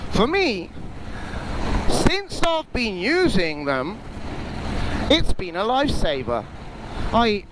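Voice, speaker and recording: A man's voice: sits high, noisy background. A man giving his opinion in a casual, emphatic, conversational vlog style, as a motorcycle vlogger.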